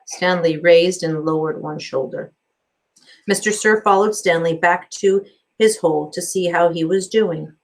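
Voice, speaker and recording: A woman narrating a children's novel aloud as a teacher would, with expressive storybook delivery. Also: lowish voice, measured pace, poor audio.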